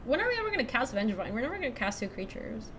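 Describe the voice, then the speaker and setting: A young woman from Ecuador chatting casually through a card game stream, thinking out loud and laughing often. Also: fairly high pitch, sing-song, very fast, noisy background. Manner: chatty, giggly, thinks aloud to chat. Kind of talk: casual live-stream commentary.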